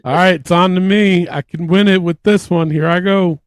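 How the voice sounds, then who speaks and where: A man's voice: high-pitched, steady tone, moderate pace, background noise. A man hosting a podcast game, bantering casually and handing out turns. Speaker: podcast host running a game.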